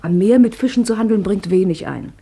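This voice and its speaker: An actress playing Fatime, a naive and devoted young wife with a soft, yielding manner, in a 1950s radio play. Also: female voice, medium pitch, brisk, husky.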